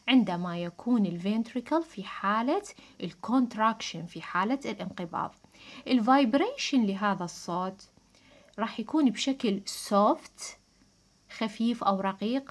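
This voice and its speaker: A woman with an Iraqi Arabic accent giving a lecture, explaining didactically, switching between Arabic and English technical terms and restating key points. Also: mid-range voice. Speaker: physiology lecturer.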